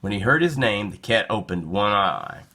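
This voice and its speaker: A man narrating a children's storybook in an expressive read-aloud style. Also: fairly low pitch, moderate pace, husky.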